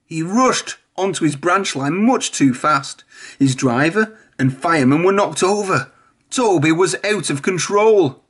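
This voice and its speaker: A man's voice: on the high side, lively intonation, unhurried, a bit bright, slightly rough. A man reading a children's storybook aloud, narrating expressively. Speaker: storybook reader.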